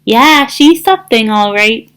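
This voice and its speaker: A wary, polite gander from a storybook, acted out by a woman. He speaks slowly in a high voice and grumbles as if forever put upon. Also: animated, fairly bright tone, clean tone. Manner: honking, muttering complaints.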